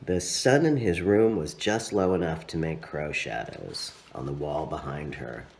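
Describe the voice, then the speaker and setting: A man reading his own literary story aloud as narrator, with expressive, dramatic phrasing and drawn-out words. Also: extremely low pitch, vocal fry.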